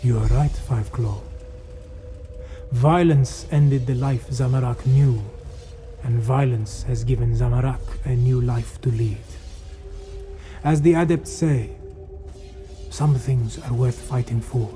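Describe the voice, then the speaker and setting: A solemn cat-folk warrior monk played by a man from a fantasy game, burdened and thoughtful. His voice is very dark and mellow at a middle pitch. He speaks slowly and with measured care. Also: fairly even intonation, soft background music, close to an everyday voice. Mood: calm, resolute. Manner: speaks of himself in third person, measured, solemn. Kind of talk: fantasy video game character acting.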